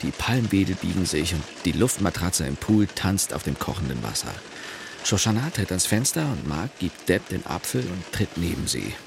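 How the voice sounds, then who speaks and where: Radio play narrator: middle-aged secular husband, wry and affectionate, expressive pitch, clear background noise. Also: male voice, moderate pace, full character voice. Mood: reflective. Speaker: first-person narrator.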